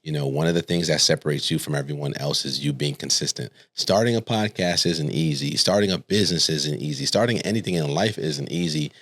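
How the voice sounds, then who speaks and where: A man's voice: a bass voice, brisk, few pauses, a bit bright, no echo. A man from Connecticut, a podcast guest in a studio, telling personal stories casually and colloquially, often checking in with the listener. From Stamford, Connecticut, United States. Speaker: podcast guest, speaker and coach.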